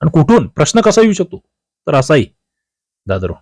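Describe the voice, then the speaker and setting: A man's voice: on the high side, wildly swooping pitch, normal speed, a little mellow, dry recording. Man teaching, close dry sound. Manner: didactic, poses questions then answers them. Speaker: exam coaching teacher. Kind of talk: exam question walkthrough, explaining answers.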